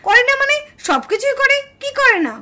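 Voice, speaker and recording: A woman's voice: very high voice, very animated, brisk, bright, forceful tone, full character voice. A woman voicing a quarrelsome, indignant village daughter-in-law in a puppet cartoon family drama. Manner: scolding, indignant, exclamatory.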